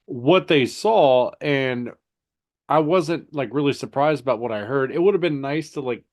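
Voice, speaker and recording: Man, nasal stuffy voice, mid pitch, halting chatty podcast talk. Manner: halting, repeats words, storytelling. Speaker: podcast co-host. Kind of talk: casual podcast chat.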